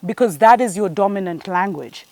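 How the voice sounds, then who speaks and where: A woman's voice: mid-range voice, expressive, normal speed, a little mellow, no room sound. A woman, hosting a spiritual show and giving an earnest, preachy monologue that speaks to her listeners as family. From Kenya.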